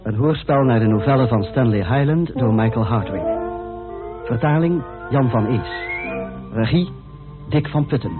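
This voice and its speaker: A male announcer introduces a radio play in scripted style, in a mid-pitched voice. Music plays clearly around him, and the old recording sounds dull and limited. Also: animated, normal speed.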